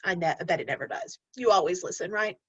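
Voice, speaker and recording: A woman's voice: on the low side, quick pace, husky, no room sound, highs cut off. A woman teacher reading a storybook aloud to young learners, speaking expressively and instructively and addressing the children directly.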